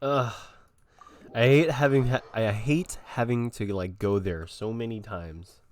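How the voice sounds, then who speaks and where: A man's voice: medium pitch, unhurried, a little hiss or hum. A man streaming a video game with casual, chatty commentary.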